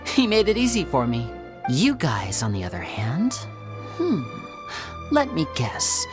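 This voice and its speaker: A man voicing a charming young stage magician who talks in a smooth, soft, teasing way. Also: fairly high pitch, huge pitch swings, unhurried, music in the background. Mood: playful.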